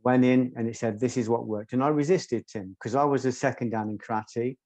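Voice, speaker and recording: A soft-spoken man, a guest telling stories in an interview in a measured, articulate way. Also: mid-range voice, steady tone, dry recording. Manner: measured, articulate, occasional ums. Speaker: guest, former doorman. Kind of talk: reflective interview storytelling.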